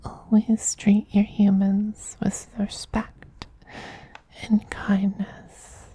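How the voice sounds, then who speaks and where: A man voicing a tender, possessive male vampire queen in a soft-spoken ASMR roleplay, in a slow, breathy, soothing whisper with gentle dominance. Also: high voice, completely flat delivery, exaggerated voice. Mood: tender, reassuring.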